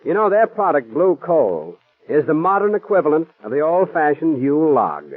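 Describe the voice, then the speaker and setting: A man's voice: a little high, sing-song, normal speed, breathy edge, dry recording. A man announcing a 1940s radio drama and reading its sponsor's ad in a polished, formal way. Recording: tinny old radio broadcast with faint music.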